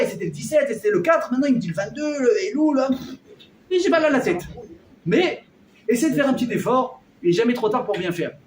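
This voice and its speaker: A rabbi, a man, teaching a lively class in a hall, his high voice bouncing around the room. He sounds a little distant from the mic. He jokes and throws questions at the crowd as he goes. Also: slight background noise. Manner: animated, jokey, quizzes the audience. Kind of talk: lively Torah class with audience. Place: hall with a live audience.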